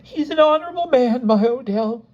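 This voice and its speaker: A frail-hearted elderly woman from an audiobook novel, played by a man, pitched very high. She is tearful, frightened and pleading as she speaks. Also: lively intonation, normal speed, darkish tone, muffled recording. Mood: tearful confession.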